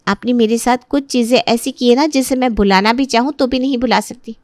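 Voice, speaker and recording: A woman acts out a young pregnant daughter-in-law in a family storytelling drama. Her voice is high and recorded very dry. She speaks as a resentful, gossiping in-law nursing her grievances. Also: fairly even intonation, a little fast, darkish tone, no room sound, lightly acted. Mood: curt, angry.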